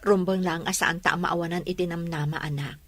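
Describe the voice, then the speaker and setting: Ilocano actress as a sick but determined mom, radio drama, plaintive and earnest, close dry sound. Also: female voice, fairly low pitch, fairly even intonation, a little fast, fairly bright tone, no room sound. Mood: resigned, steady. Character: ailing but resolute mother facing surgery.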